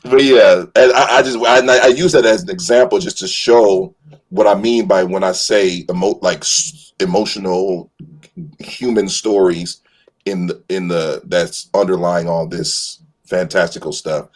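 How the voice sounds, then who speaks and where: A man in an animated, casual fan discussion, repeating phrases for emphasis and stumbling over his words as he goes. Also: deep voice, measured pace.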